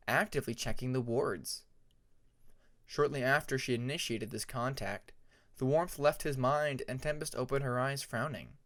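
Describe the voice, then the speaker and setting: A man narrating a story from a script as an audiobook, reading deliberately phrase by phrase. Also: medium pitch, a bit bright.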